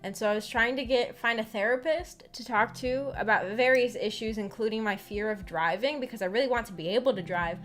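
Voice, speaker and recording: Young female streamer, slightly high voice, informal rambling delivery, music playing underneath. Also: a little fast, few pauses. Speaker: streamer hosting a visual novel book club. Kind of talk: casual livestream chat, reading and answering viewer comments. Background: background music.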